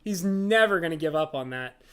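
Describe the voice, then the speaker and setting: Excitable gushing woman, scratchy from laughing. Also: mid-range voice. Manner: excitable, gushing, laughs often, heavy filler words, swears freely. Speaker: live streamer. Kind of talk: casual livestream chat, reading chat messages.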